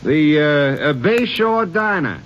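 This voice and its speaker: Folksy American landlord: high-ish, very animated voice, halting and repetitive, vintage radio drama with dull sound. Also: male voice, heavily acted. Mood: folksy, flustered. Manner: hesitant, stammering, repeats himself. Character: folksy, talkative male rooming-house landlord. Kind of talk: 1950s radio acting. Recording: dull 1950 radio broadcast, faint noise.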